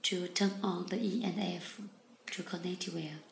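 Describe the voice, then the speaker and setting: A woman with a non-native English accent giving step-by-step product instructions in halting, simple phrases, often repeating herself. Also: lowish voice, fairly even intonation, gravelly. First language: not English. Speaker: product demonstrator. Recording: echoey room with background noise.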